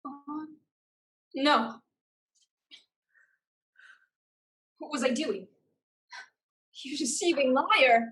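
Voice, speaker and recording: A woman's voice: sits high, takes it slowly, a bit bright. A woman playing a fierce, defiant pirate swordfighter in a staged play reading, tough and terse. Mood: bewildered.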